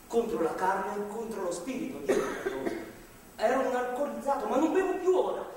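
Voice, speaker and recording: In a reverberant hall, far from the microphone, a desperate reformed-alcoholic husband on stage. His high voice begs and stumbles, fervent and volatile, imploring with newfound religious zeal. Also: very animated, a crowd in the background. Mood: contrite, broken. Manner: halting, imploring, swinging from hushed to shouting. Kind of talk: theatrical stage acting, impassioned monologue. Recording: echoey theatre hall, distant mic, faint noise.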